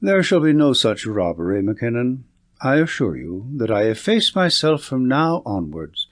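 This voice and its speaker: A man's voice: mid-range voice, sing-song, normal speed, lightly acted. A man voicing a confident, commanding detective in an audiobook, speaking in an assured, articulate, lecturing way. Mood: brisk, assured.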